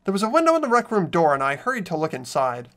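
Visual novel schoolboy lead, acted: youthful, earnest, anxious, animated intonation, music underneath. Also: medium pitch, fast talker. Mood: subdued narration. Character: earnest teenage schoolboy narrator, easily startled, anxious. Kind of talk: visual novel dub, narration and dialogue. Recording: background game music.

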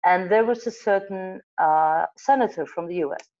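Middle-aged Icelandic woman, lively anecdotal guest, mid pitch, slightly dull video-call sound. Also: moderate pace. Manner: animated storyteller, gestures, restarts and self-corrections. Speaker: magazine editor, guest. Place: room with wall of photos. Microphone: earbud headset mic on video call.

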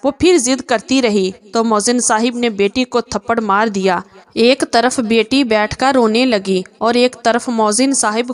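Woman storyteller reading a scripted moral story, voice a bit on the high side.

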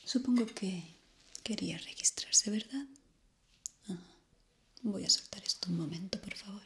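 A young woman from playing a polite, eerie hotel receptionist in an ASMR roleplay, formal and hushed. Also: medium pitch, lightly acted. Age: young adult. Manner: formal, slow, hushed and courteous.

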